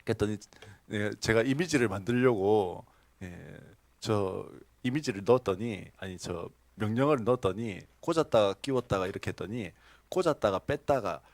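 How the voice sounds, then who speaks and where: A man's voice: lowish voice, lively intonation, normal speed, no echo. A man pastor preaching a sermon, telling stories and asking the congregation questions with laughing asides. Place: church sanctuary.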